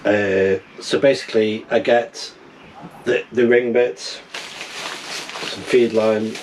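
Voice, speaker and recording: A middle-aged man talks casually to camera in a small wooden cabin, working things out as he goes. His voice sits fairly low and moves slowly, with halting phrases. There is faint noise behind him. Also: fairly even intonation. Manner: halting, thinking aloud. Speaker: amateur radio vlogger. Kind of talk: casual vlog chat while tinkering and unboxing.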